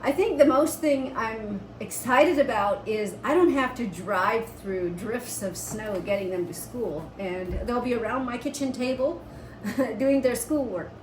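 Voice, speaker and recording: A woman's voice: fairly high pitch, unhurried. A middle-aged woman chatting casually as a homestead vlogger, in a conversational, explaining way. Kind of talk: casual chat. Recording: roomy echo with steady background noise.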